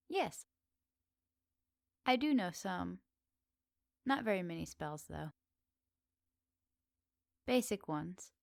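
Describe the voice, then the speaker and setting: A woman's voice: fairly low pitch, no echo. Woman playing flirty, teasing witch, close dry sound. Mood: apologetic, chatty. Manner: teasing, gently doting, slightly old-fashioned politeness. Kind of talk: ASMR roleplay addressed to the listener.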